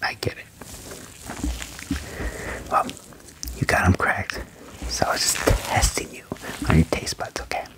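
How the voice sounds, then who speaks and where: A young man whispering gently into a close microphone in an ASMR medical roleplay, with short reassuring phrases. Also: a little slow, pauses often, poor audio. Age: young adult. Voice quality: whispered, breathy. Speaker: ASMR performer playing an alien doctor. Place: small wood-panelled room indoors. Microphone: close microphone with furry windscreen.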